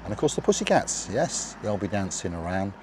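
A middle-aged man hosting a vlog in casual chat, giving cheerful shout-outs and calling viewers by playful mock noble titles. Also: lowish voice, big pitch swings, a little fast, noisy background. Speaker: vlog host.

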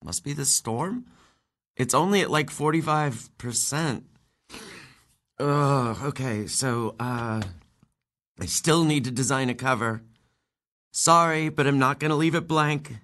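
An actor playing an earnest, anxious transgender teenage girl in an audio play, speaking in halting, youthful phrases. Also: mid-range voice, fairly bright tone, close to an everyday voice. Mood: earnest, hesitant. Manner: hesitant, halting, youthful phrasing with likes and ums. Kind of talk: naturalistic audio play acting.

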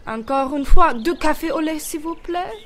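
A woman voicing a cool, playful young man with an amused, smooth-talking manner in an audiobook. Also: high voice, moderate pace, soft background music, pitched above the performer's own voice, close to an everyday voice. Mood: suave.

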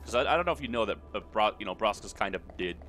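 A bright-voiced man comments casually over a video game. His delivery is very lively and irreverent, full of jokes and swearing, with faint music in the background. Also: on the low side, fast. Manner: irreverent, sweary, riffing on the characters. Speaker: gaming video host.

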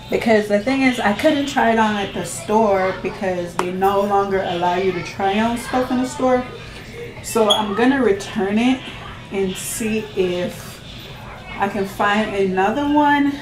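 A woman in her thirties with a faint Jamaican accent, talking casually to her camera in a slow, relaxed, chatty way. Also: mid-range voice, echoey room, rough recording. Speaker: vlogger. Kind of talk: casual vlog chat. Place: living room indoors, then a parked car.

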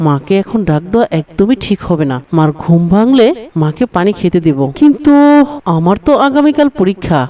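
A woman voices a dutiful little boy in an Islamic moral cartoon. He is earnest and devoted, often reasoning aloud to himself, with a mid-pitched childlike delivery. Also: expressive, fairly quick, dark tone, lightly acted. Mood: thoughtful. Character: dutiful young boy devoted to his sick mother.

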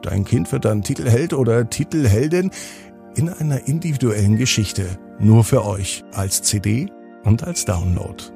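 A man narrating a bedtime story for children as a podcast host, phrasing deliberately with dramatic pauses. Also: medium pitch, animated, gravelly, warm tone, soft background music.

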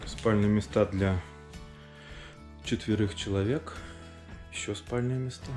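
A man's voice: on the low side, fairly even intonation, measured pace, a little mellow, soft background music. A man in his thirties narrating a travel vlog on location, speaking into a handheld camera. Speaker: travel vlogger.

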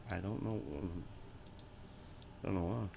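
A man streaming live gameplay, talking loud and excitably, with a booming voice, cursing at the game and answering chat. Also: a little slow, gravelly, noisy background. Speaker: gaming streamer.

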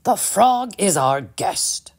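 A woman voicing a stern, dignified old king in a storybook reading, firm and measured. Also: mid-range voice, very animated, a little mellow, full character voice.

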